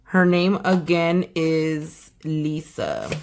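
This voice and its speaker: A young woman hosting a nail channel, chatting casually and slangily while unboxing, a little hoarse from a sore throat. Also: low-pitched, big pitch swings, drawn-out words. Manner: chatty, slangy, trailing off to a whisper. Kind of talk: casual product unboxing chat.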